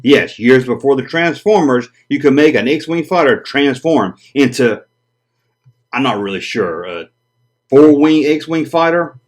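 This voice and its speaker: A man's voice: medium pitch, measured pace. A man hosting a collector video, talking casually and joking about odd vintage toys; his first language is Japanese. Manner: animated, joking, chatty. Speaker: toy collector channel host.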